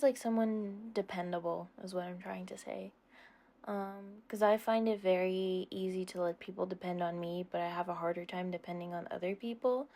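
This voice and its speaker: A young adult woman answering questions in a casual, informal chat, hedging often and gently poking fun at herself. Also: mid-range voice. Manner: informal, hedging, self-deprecating. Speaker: ASMR roleplay creator answering subscriber questions.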